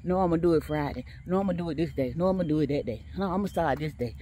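A woman's voice: deep voice, racing, mellow, slight background noise. A woman chatting casually to her viewers while she walks on an outdoor track, laughing often and talking straight to them. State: walking outdoors, a bit tired. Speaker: vlogger.

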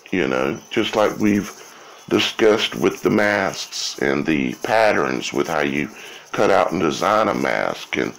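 A man giving an informal explanatory monologue in a halting way, repeating words and often checking in with "okay?" or "right?". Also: fairly low pitch, vocal fry, rough recording. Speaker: video creator.

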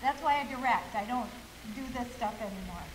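A middle-aged woman, a director, giving a nervous, halting informal introduction from a stage. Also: a little high. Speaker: play director introducing show. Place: school auditorium stage, far camera. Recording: echoey auditorium with hiss.

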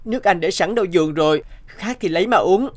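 A man's voice: high voice, expressive, quick pace. A man voicing a caring, hardworking orphan boy in a cartoon, an earnest and tender big brother. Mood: tender, coaxing.

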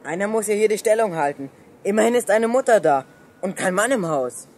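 A woman playing a resourceful, confident young boy who leads a gang in a children's radio play, speaking briskly. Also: medium pitch, expressive, darkish tone, close to an everyday voice. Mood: commanding. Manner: brisk, commanding, decisive.

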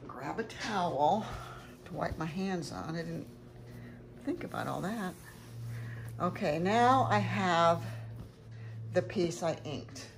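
A woman's voice: lowish voice, lively intonation, takes it slowly, pauses often. An older woman chatting casually and rambling a little as she talks through a craft demonstration at home in her kitchen. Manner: rambling, chatty, self-correcting. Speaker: craft tutorial host. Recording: clearly noisy home recording with clicks.

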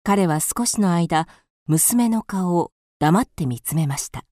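Narrator: composed woman, lowish warm voice, scripted storytelling for radio drama. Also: animated, takes it slowly, no room sound, clean studio sound.

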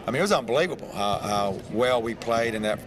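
A man's voice: medium pitch, lively intonation. Older coach guy talking after the game at courtside, lots of uh and restarts, crowd noise in the arena. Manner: halting, many restarts and fillers. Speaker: head basketball coach. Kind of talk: post-game interview. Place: indoor basketball arena, courtside. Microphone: handheld reporter mic.

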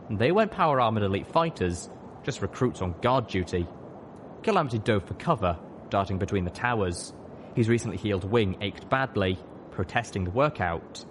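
A man's voice: lowish voice, noisy background. A man reading fiction aloud as an audiobook narrator, in a dramatic scripted style.

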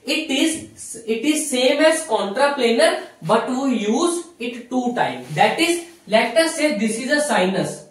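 A man's voice: very high-pitched, very animated, slow pace, few pauses. A young man with an Indian accent giving an explanatory whiteboard lecture, repeating key phrases as he teaches. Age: young adult. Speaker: physiotherapy lecturer. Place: small indoor room with whiteboard. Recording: very echoey room, distant mic.

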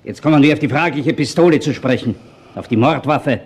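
A sardonic, pushy Austrian detective in a radio crime play. He talks fast in a raspy voice, brusque and blunt. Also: mid-range voice, darkish tone. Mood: sardonic, pressing. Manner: brusque, sarcastic. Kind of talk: radio crime drama acting.

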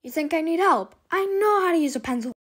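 A blunt, foul-mouthed little cartoon object, voiced by a woman for an amateur comedy dub. The voice sits high and snaps out its lines. The sound is dry and close, with no room to it. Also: expressive, quick pace, a bit bright, no echo. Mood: snappy. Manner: blunt, sweary. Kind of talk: amateur comic cartoon voice-over.

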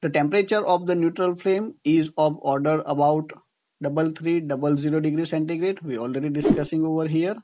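Higher-voiced Indian man lecturing. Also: steady tone, a little fast, mellow. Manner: didactic, repeats and rephrases points. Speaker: engineering tutor. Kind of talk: scripted lecture narration over slides.

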